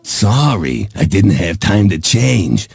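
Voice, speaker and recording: An unbothered, grisly night foreman for a game cutscene. The voice is extremely low, gravelly and slow. He states everything matter-of-factly, deadpan. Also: full character voice.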